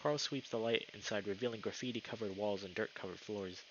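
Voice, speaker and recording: Low-voiced male streamer, slightly muffled mic. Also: a little fast, background noise. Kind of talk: live game stream commentary and reading visual novel text aloud. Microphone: streaming mic with noise filtering.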